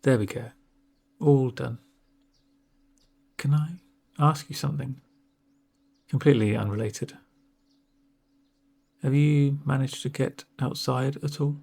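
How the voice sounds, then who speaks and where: A man speaking softly in an ASMR roleplay as a doctor, with a gentle, reassuring, unhurried manner and a breathy voice. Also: medium pitch. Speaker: ASMR performer playing a doctor. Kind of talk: soft-spoken ASMR roleplay.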